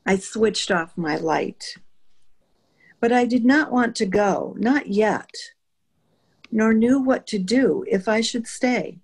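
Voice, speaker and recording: Slow-paced woman reading poetry. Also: fairly high pitch, vocal fry, darkish tone, breathy edge, rough recording. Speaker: poetry reader.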